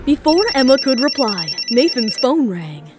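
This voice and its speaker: A woman's voice: high voice, big pitch swings, unhurried. A woman narrating a scripted audio drama in a dramatic storytelling style, with music underneath. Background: background music.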